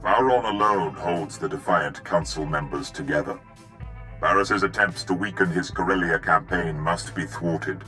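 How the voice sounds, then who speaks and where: An actor playing a cold, commanding Sith in a video game, speaking in a solemn, formal, imperious way. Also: male voice, rumbling low, sharp tone, full character voice, rough recording. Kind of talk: video game dramatic acting.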